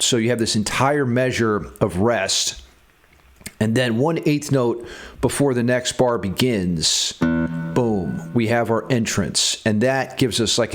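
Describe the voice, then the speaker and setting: A man hosting a music theory lesson, explaining in a playfully theatrical, tongue-in-cheek way. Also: mid-range voice. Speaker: music theory teacher and video host. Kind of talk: guitar music theory lesson with scripted dramatic intro then casual explanation.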